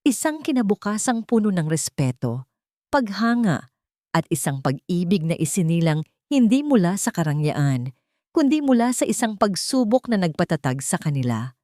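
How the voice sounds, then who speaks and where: Female audiobook narrator, Filipino accent, slightly low voice, dry recording, earnest and measured dramatic storytelling. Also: sing-song, mellow, no echo.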